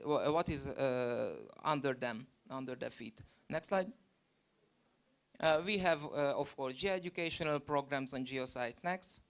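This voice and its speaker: A man from Hungary walks through a slide presentation, pausing often with fillers between points. His voice sits a little high and comes through smooth and resonant over a video call. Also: a bit bright. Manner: halting, many fillers. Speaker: geopark presenter.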